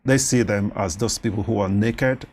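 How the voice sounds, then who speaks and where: A man with a Nigerian accent whose first language is Yoruba, talking as a doctor in a studio interview, animated and quick to laugh. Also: on the low side, slight background noise. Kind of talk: conversational interview.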